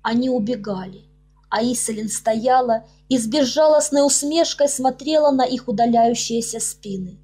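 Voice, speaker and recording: A woman narrates a fairy tale from a prepared script. Her voice is high and soft-toned, with a breathy, husky edge. It is audiobook storytelling. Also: normal speed. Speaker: author reading her own audiobook. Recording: music under the voice. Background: background music.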